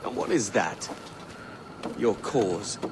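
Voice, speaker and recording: A man's voice: lowish voice, animated, slow, background noise. A man playing a cocky, roguish young pirate captain in a video game cutscene. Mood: sardonic. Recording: loud music behind dialogue.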